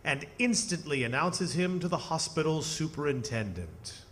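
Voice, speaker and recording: A man narrating a radio play in a dramatic storytelling style with deliberate pauses. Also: fairly high pitch, sing-song, fairly bright tone. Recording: music under the voice.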